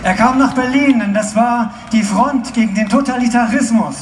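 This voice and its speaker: A young woman giving a loud, declaiming live translation at an outdoor rally through a handheld mic. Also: fairly high pitch, moderate pace. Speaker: interpreter. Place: outdoor stage at a large crowd rally. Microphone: handheld mic, filmed from far in the crowd. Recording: echoey, distant crowd phone recording. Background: cheering crowd and ambient noise.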